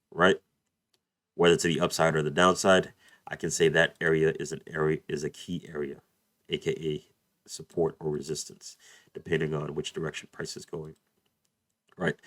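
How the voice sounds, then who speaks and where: Male trading tutor, very deep voice, slightly off after a cold, casual screen-share teaching. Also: no echo. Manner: repeats "beautiful" and "let's play this out", addresses "you guys". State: just over a cold, voice a bit off. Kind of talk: screen-share chart walkthrough.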